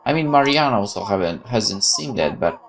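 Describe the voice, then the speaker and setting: A man's voice: lowish voice, expressive, normal speed, creaky. A man hosting a gaming video, reading visual novel text aloud and adding casual commentary.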